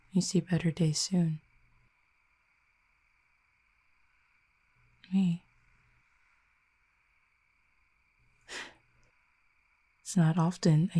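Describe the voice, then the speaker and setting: A woman voicing a calm, reserved, quietly fond cupid in an intimate audio roleplay, speaking softly in measured, formal, gently teasing phrases. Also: sits low. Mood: gentle, sincere. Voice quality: soft, breathy, hushed.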